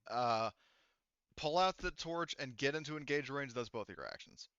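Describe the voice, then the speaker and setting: A man's voice: mid-range voice, expressive, normal speed, a bit bright, clean tone, no echo, dull audio. A man casually running a tabletop game as game master, explaining rules and narrating the action.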